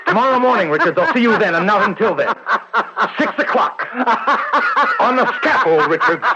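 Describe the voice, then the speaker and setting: Through a muffled, very noisy old radio recording comes an agitated prison warden. He is stern and commanding, his high voice swinging widely as he barks clipped orders in a radio play. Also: big pitch swings, normal speed. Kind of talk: 1970s radio drama acting. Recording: dull old radio recording, loud noise.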